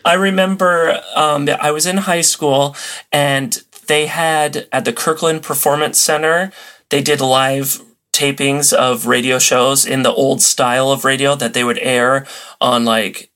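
Man chatting casually, animated storyteller. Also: medium pitch, measured pace. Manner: animated, acts out little quotes and sound effects. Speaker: podcast guest, radio show podcaster. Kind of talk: casual chat.

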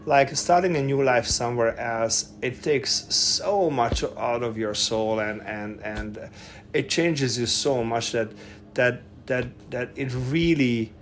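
A middle-aged Hungarian man speaks English with his accent. He talks as a podcast guest at a medium pitch, stopping and restarting often and padding his sentences with fillers. A faint noise floor sits under the recording. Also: slow. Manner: hesitant, many fillers and restarts, laughs often. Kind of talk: casual interview conversation.

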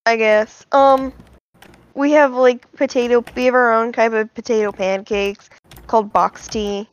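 A woman from Ireland, chatting casually on a podcast, halting with frequent ums. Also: on the high side, steady tone, clean tone. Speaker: podcast co-host. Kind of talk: casual chat. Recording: dull lo-fi mic.

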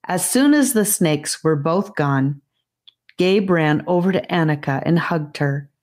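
Lady reading a fantasy audiobook, low voice, really unhurried storybook delivery. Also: expressive, darkish tone. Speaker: audiobook narrator. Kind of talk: scripted fantasy story narration.

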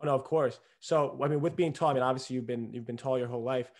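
A young man hosting a casual podcast interview, eager and chatty, leaning on "I mean" as he talks. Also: mid-range voice. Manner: eager, rapid, lots of "I mean" fillers. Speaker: podcast host.

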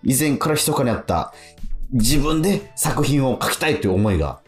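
A man's voice: medium pitch, big pitch swings, moderate pace. A man hosting a radio show in casual chat, talking in an encouraging way with frequent interjections. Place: radio studio. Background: music.

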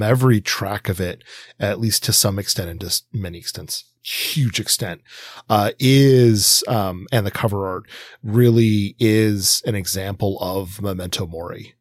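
A man hosting a podcast in a casual discussion, talking reflectively in rambling, halting sentences. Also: on the low side, dry recording, clean studio sound. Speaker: podcast host.

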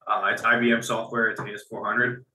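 Bright-voiced man answering in an echoey room. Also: fairly low pitch, level tone, a little fast. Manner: conversational, repeats phrases as he restarts. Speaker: business guest. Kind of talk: casual interview answers.

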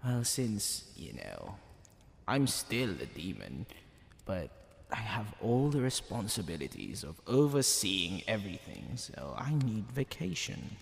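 Man acting slow, smug, seductive demon king. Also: fairly low pitch, gravelly, exaggerated voice. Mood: sly, scheming. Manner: drawling, theatrical, with whispered asides. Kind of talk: ASMR roleplay. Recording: long reverb, faint background noise.

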